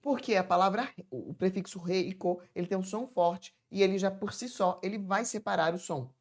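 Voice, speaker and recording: A woman teaching a chatty, informal grammar lesson from home, stretching words out slowly to demonstrate how they sound. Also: medium pitch, a little mellow. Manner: chatty, addresses viewers as "gente". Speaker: Portuguese teacher.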